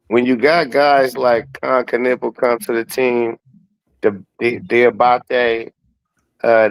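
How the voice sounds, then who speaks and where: A man's voice: medium pitch, poor audio. A man giving his opinions on sports in casual, slangy, profane talk, often repeating words to make his point. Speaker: guest commentator.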